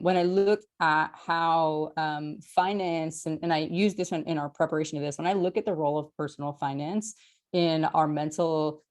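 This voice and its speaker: Woman, low voice, eager, fluent, panel answer. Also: a little mellow.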